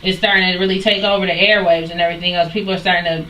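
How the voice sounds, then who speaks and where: A woman's voice: fairly low pitch, fairly even intonation, rapid-fire, sharp tone, distant mic. A woman hosting a radio interview in a chatty, casual way, laughing easily and filling her speech with "like". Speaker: radio host. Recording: echoey room, voice off-mic, faint hiss.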